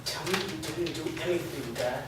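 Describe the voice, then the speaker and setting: A man's voice: fairly high pitch, moderate pace, fairly bright tone, lightly acted. A man playing a guarded, suspicious young adult son at a staged reading. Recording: echoey room from far off, noisy.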